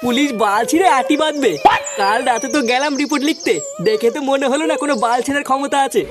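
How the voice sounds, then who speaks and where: A man's voice: extremely high pitch, fast. A man voicing a scornful, foul-mouthed family member in a comic cartoon. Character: scornful, crude male relative of missing boy.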